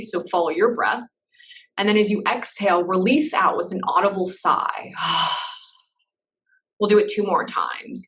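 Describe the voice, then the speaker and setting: A woman leading a guided meditation in a soft, slow, reassuring way, with gentle pauses between phrases. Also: mid-range voice, far from the mic, poor audio.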